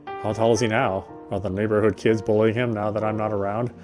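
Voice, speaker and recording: A man's voice: lowish voice, level tone, brisk, a little mellow, soft background music. A man gaming and reading a visual novel's narration aloud, with casual asides. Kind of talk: let's play read-aloud with casual asides.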